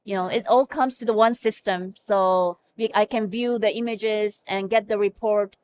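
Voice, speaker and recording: Korean-accented woman, earnest and halting. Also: medium pitch, steady tone, darkish tone. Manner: earnest, frequent fillers and self-corrections. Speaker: hospital chief medical information officer. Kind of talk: conversational interview answers.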